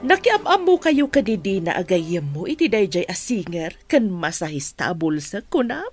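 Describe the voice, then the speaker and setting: A woman's voice: fairly low pitch, very animated, normal speed, soft background music. A woman playing an approving, encouraging mother in an audio drama, exclamatory and indulgent. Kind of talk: Ilocano audio drama acting.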